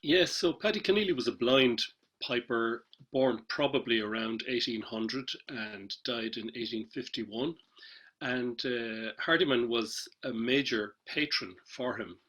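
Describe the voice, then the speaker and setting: A man's voice: mid-range voice, unhurried, a bit bright. A man speaking casually as a panellist on a webinar, hesitant, with many fillers and restarts. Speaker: panellist, traditional musician. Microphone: video call.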